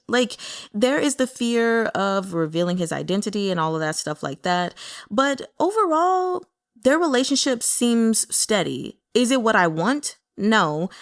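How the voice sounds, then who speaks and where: A woman chatting casually and candidly about a novel as a book reviewer, in a conversational, mildly exasperated way. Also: on the high side. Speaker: book reader and reviewer with an audience. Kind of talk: casual chat commentary on a novel.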